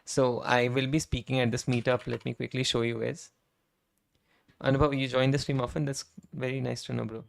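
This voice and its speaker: A man with a hoarse, worn-down voice, chatting casually on a live coding stream, often tagging his sentences with "right?" and clearing his throat. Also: medium pitch, flat delivery, brisk. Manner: conversational, frequent "right?" tag questions, throat clearing. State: hoarse, voice almost gone. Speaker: streamer and software engineer. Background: keyboard typing and clicks.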